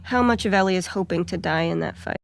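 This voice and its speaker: A woman's voice: on the low side, quick pace, gravelly, slight background noise. A woman giving casual, analytical commentary on a game, reflective and building her thoughts in repeated phrases.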